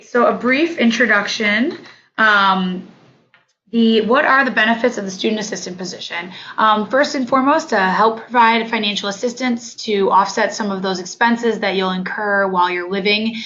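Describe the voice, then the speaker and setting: Woman, hoarse, coordinator running a webinar, halting with fillers, dull call audio. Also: mid-range voice, sharp tone. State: hoarse from illness. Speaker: off-campus study coordinator. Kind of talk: online training webinar.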